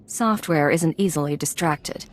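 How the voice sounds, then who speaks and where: A woman's voice: low voice, a little slow. An actress playing a cool female secret agent in charge of a gang in a video game, terse and authoritative. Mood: stern.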